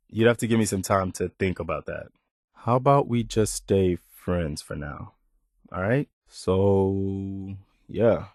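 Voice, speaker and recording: A loyal, duty-bound militia officer in a video game. His voice sits fairly low and sounds close and dry, with no room around it. He talks plainly and sincerely, humble and a little halting. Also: a little mellow, no room sound. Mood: flustered, bashful. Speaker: game character. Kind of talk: video game dialogue acting.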